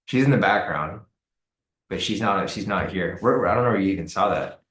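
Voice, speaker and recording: A man hosting a live trading stream, hoarse and raspy from the cold, talking casually with many fillers and repeated words. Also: low voice, lively intonation, fairly quick, a bit bright, roomy sound. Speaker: trading livestream host. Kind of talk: casual live-stream commentary answering chat.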